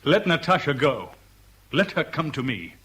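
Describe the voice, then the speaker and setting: A man's voice: a little high, sing-song, moderate pace, fairly bright tone, slightly rough, full character voice. An actor playing a blunt, hot-blooded man in a radio drama, with a terse and insistent way of talking. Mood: indignant, demanding.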